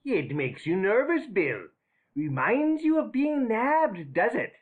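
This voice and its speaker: A woman reading an audiobook, voicing a sly, fawning old man who speaks slowly and loudly. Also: mid-range voice, very animated, slightly rough, narrow, tinny audio, exaggerated voice. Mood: wheedling. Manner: wheedling, slow. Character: wheedling old male criminal, sly and fawning.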